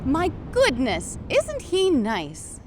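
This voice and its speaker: A woman's voice: high voice, huge pitch swings, drawn-out words, background noise, exaggerated voice. A woman voicing a polite, genteel female inspector, courteous and inquisitive, in a fandub. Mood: pleasant, gracious. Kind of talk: fandub character acting.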